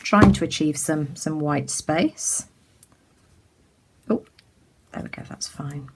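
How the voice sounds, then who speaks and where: Chatty British woman, slightly croaky. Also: low voice, takes it slowly, slight background noise, rough recording. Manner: chatty, many ums, self-correcting as she works. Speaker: craft tutor. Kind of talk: casual craft tutorial narration. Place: indoors at a craft table.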